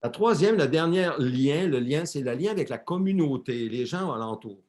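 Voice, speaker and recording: A man's voice: fairly high pitch, animated, moderate pace, darkish tone. A man interpreting a sermon live for a church, often repeating and correcting words as he translates.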